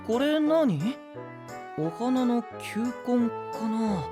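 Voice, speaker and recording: A man playing a gentle, curious, polite young knight in an anime voice drama, speaking softly with calm teasing. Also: very high-pitched, drawn-out words, music in the background, heavily acted. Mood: curious, delighted.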